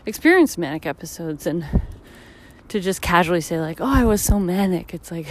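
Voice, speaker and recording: A woman in her thirties talks through her thoughts while out on a walk, meandering and full of fillers. Her voice sits low and a touch husky from tiredness. She sounds like a podcast host thinking aloud. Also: lively intonation, brisk. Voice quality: slightly deep, husky from tiredness. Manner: reflective, rambling, many fillers. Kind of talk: casual solo podcast monologue. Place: walking outdoors on a street.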